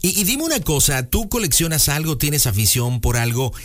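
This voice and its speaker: Playful Mexican radio host. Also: male voice, on the high side, big pitch swings, moderate pace. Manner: playful, teasing, sing-song. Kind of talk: radio phone-in chat. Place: radio studio.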